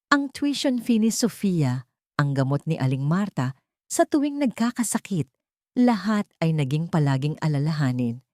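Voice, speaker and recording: A Filipino woman reads a dramatic audio story in a low, mellow voice. The recording is dry and close, with a soft, dark tone to her storytelling. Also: no room sound. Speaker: audiobook narrator. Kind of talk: scripted dramatic story narration.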